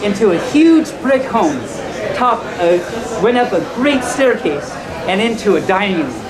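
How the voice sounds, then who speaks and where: Halting storyteller in a noisy crowded room. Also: medium pitch, big pitch swings. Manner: halting, often repeats and corrects phrases. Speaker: live bedtime storyteller. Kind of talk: informal storytelling to an audience, with asides. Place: large indoor hotel room at a convention. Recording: loud crowd in a large public room.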